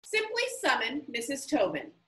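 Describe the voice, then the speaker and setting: A woman's voice: high voice, wildly swooping pitch, moderate pace, a bit bright, heavily acted. Woman reading as a formal, precise librarian. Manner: crisp, formal rule-reading. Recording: roomy, somewhat distant mic.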